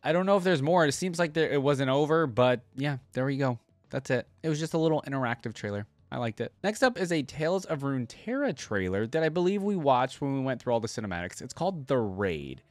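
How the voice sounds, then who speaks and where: A man's voice: medium pitch, expressive, quick pace, dry recording. A man hosting a reaction video with casual, slangy running commentary. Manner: informal running asides, slangy. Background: music from the watched video.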